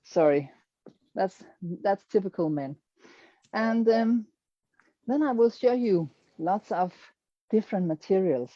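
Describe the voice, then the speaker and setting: A Danish-accented woman speaks slowly and carefully, with many pauses as she searches for English words. Her voice is mellow and dry. The sound is slightly dull, like audio from an online call. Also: medium pitch, lively intonation, no echo. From Denmark. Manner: hesitant, searching for English words, self-deprecating humor. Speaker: quilter presenting her work. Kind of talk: presentation to an online audience.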